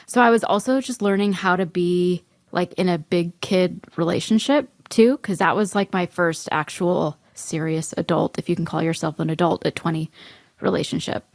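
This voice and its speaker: A woman in her early thirties co-hosting a podcast, chatting casually with lots of "like" fillers and quick laughs. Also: on the low side, no room sound. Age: 30s. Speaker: podcast co-host. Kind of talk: casual chat.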